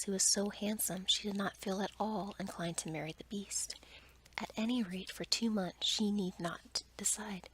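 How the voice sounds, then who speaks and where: A woman narrating a bedtime story as ASMR in a breathy whisper. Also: mid-range voice, a bit bright, slight background noise, low-quality recording.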